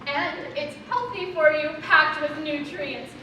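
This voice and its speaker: Actress as indignant, very high-voiced new mother. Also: unhurried, bright, forceful tone. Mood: defensive. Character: defensive, indignant new mother hosting a dinner. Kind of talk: live amateur stage comedy acting. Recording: very echoey, noisy room, far from mic.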